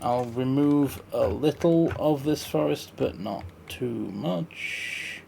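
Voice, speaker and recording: Man, fry-edged voice, casual gaming commentary, thinking out loud, light background noise with typing. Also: medium pitch, takes it slowly. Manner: thinking aloud, muttering to himself. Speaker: streamer playing a park-building game.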